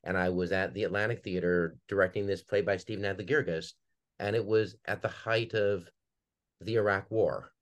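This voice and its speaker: Low male voice, Minnesota accent, middle-aged, earnest, reflective, conversational interview guest. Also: fairly even intonation, normal speed. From Minnesota, United States. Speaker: podcast guest, theater director.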